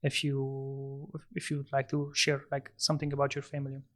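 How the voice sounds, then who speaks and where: A man's voice: medium pitch, monotone, normal speed, fairly bright tone. A man hosting a podcast interview, politely asking questions and encouraging his guest. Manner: polite and encouraging, asks in long strings of questions. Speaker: podcast host.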